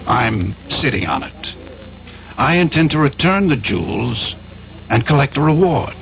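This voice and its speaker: An actor playing a persuasive, commanding schemer, an importer and war veteran, in a 1970s radio drama. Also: male voice, mid-range voice, big pitch swings, vocal fry, fairly bright tone, exaggerated voice. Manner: persuasive, self-assured, deliberate phrasing. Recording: dull old radio recording, faint music.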